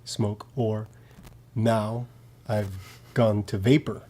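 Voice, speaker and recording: Mellow low-voiced man, laid-back. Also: fairly even intonation, drawn-out words, breathy edge. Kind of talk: casual podcast conversation. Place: studio.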